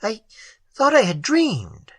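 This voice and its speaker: A reader voicing a startled young man who stammers and blurts out his words excitedly. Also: medium pitch, slow pace, dry recording, pitched above the performer's own voice, full character voice. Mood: flustered, amazed. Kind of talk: audiobook dialogue.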